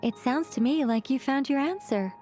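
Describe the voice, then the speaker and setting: A woman playing a wise, calm companion who speaks thoughtfully and gently guides others in a game cutscene. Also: sits high, moderate pace, soft background music, natural voice. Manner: thoughtful, reflective, gently guiding.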